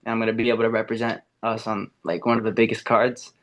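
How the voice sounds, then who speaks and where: A man's voice: lowish voice, level tone, fast talker, fairly bright tone. A young man, an amateur fighter, talking eagerly in a casual podcast interview, with many fillers and restarts. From America, Ecuadorian and Puerto Rican family. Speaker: amateur MMA fighter, guest.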